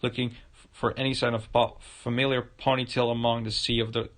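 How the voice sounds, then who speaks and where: A man livestreaming a visual novel, reading the narration aloud in a halting way with stumbles and repeated words. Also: medium pitch, flat delivery. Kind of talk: live narration of game text. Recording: loud music behind the voice. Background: game music.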